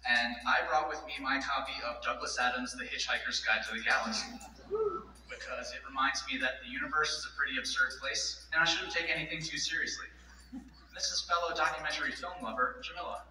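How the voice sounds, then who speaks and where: A man's voice: mid-range voice, steady tone, fairly quick, bright, forceful tone, a little hiss or hum. A man from New Jersey introducing himself on a theatre stage in a self-mocking, light-hearted way, recorded far off in an echoey hall.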